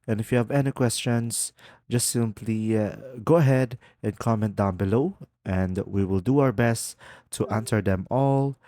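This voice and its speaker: A man's voice: on the low side, normal speed, mellow, dry recording, clean studio sound. A man hosting a casual how-to tutorial, talking the viewer through each step. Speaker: tutorial host.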